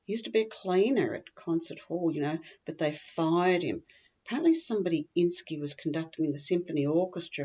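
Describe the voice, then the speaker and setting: A woman's voice: deep voice, warm tone, dull audio. A woman voicing a chatty, tactless, scatterbrained gossip with an Australian accent, rambling bluntly to a friend in a humorous story monologue. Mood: gossipy, tactless. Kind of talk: humorous monologue, performed reading.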